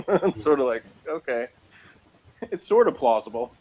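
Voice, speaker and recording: A man's voice: medium pitch, big pitch swings, a little slow, a little mellow, slightly rough, rough recording. A man chatting casually as co-host of a TV review show, with a sarcastic, mocking way of putting things down. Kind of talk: casual chat reviewing a sci-fi TV episode.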